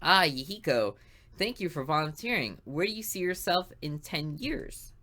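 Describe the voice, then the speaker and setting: Strict, tired female teacher, game character, read by a woman, low and crisp. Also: lively intonation, moderate pace, a bit bright. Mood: stern. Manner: strict and commanding. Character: stern, weary female high school teacher.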